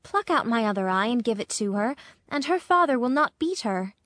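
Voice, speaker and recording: Woman voicing a high-pitched, sorrowful storybook prince. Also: very animated, no room sound, studio quality. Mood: pleading, compassionate. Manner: gentle, pleading, measured storybook delivery. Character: sorrowful, pleading, noble living statue of a prince. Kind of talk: acted storybook dialogue.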